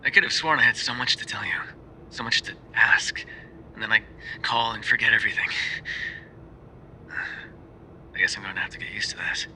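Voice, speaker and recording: A man performs a tender, bookish mafia boyfriend for an ASMR roleplay. His voice is on the low side with a very bright, sharp edge, soft-spoken and often whispered. He talks sheepishly and haltingly, as in a private phone message, over faint background noise. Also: low-quality recording. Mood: sheepish, longing.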